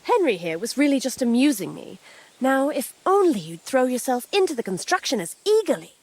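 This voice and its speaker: A woman's voice: sits high, sing-song, brisk, a little mellow, full character voice, low-quality recording. An actress playing an imperious, exasperated noblewoman with a dry manner in a period video game. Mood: dry, dismissive.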